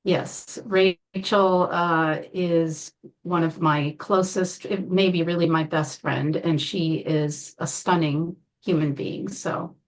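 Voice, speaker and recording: A woman guest in an interview, telling her life story in a calm, measured way with frequent pauses. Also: fairly low pitch, rough recording. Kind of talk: interview, personal account.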